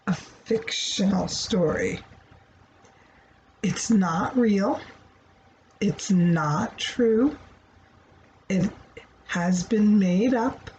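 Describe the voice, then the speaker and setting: A woman storyteller explaining things to children in a simple, teacher-like way, deliberate and repetitive, checking that they understand. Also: medium pitch, darkish tone, dull audio, a little hiss or hum. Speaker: children's read-aloud storyteller.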